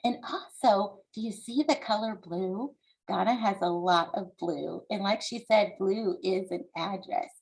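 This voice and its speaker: A woman from the United States, an author reading her book aloud and explaining it to young listeners in a deliberate, encouraging, instructive way. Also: medium pitch, gravelly, rough recording.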